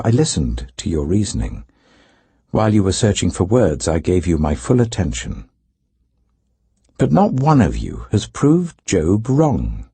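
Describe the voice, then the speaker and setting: Older man voicing husky, indignant young orator. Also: low voice, warm tone, dry recording, full character voice. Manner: emphatic, measured, oratorical. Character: earnest, indignant young man rebuking his elders.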